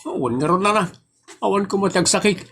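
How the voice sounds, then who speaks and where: A woman's voice: mid-range voice, brisk, fairly bright tone, breathy edge. A woman voicing an exasperated, skeptical, worried husband in a comic audio drama, with an Ilocano accent and grumbling complaints. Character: exasperated, skeptical husband worried about his sick wife.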